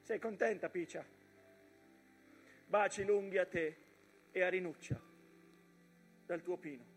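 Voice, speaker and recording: A tender wartime soldier in a stage drama, voicing a letter to his wife. His male voice is high and affectionate, with faint music in the recording. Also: unhurried, slightly rough. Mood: tender, hopeful.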